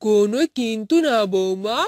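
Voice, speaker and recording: A woman voicing a scheming, greedy older mother-in-law in a cartoon, with a drawn-out, theatrical delivery. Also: mid-range voice, a little mellow, no room sound, clean studio sound, lightly acted. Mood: coaxing. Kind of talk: Bengali cartoon voice acting.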